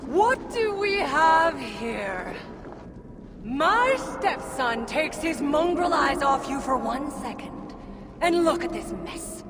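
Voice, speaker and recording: A woman's voice: high-pitched, big pitch swings, music in the background, heavily acted. An actress voicing a haughty, menacing stepmother villain in a video game, mocking and sly, drawing out her taunts. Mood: gleeful contempt. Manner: drawn-out taunting. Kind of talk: video game cutscene acting. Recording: long echoey reverb, clear background noise.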